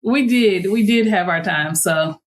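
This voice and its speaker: A woman in her late thirties from Chicago, hosting a podcast in a relaxed, chatty way and laughing easily. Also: medium pitch, a little slow, slight background noise. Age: 30s. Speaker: podcast host. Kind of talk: casual chat.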